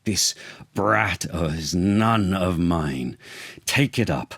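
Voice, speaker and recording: A man acts out a jealous tyrant king from a storybook. He speaks slowly and commandingly, drawing words out with theatrical scorn. The sound is close and dry. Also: low voice, expressive, a bit bright, no room sound, full character voice. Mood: furious contempt.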